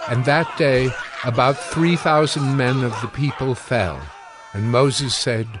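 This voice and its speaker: A man's voice: medium pitch, slow. A man narrating scripture as a dramatic, scripted audio production. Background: cinematic music.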